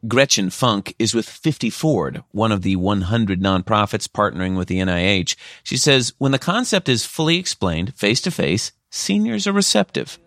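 Low-voiced male radio reporter. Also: no echo. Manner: measured, even news delivery. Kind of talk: scripted news report.

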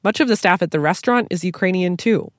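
A woman reporter narrating a news feature in a measured, even way. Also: fairly low pitch, no echo, studio quality.

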